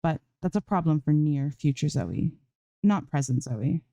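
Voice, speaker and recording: A woman's voice: deep voice, expressive, a little slow, warm tone, no echo, studio quality. A woman reading a story aloud as an amateur narrator, in scripted prose. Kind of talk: scripted fan fiction narration.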